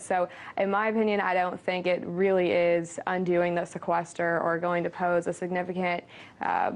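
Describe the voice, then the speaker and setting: A woman giving matter-of-fact, detailed commentary as a financial analyst on a news panel. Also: on the low side.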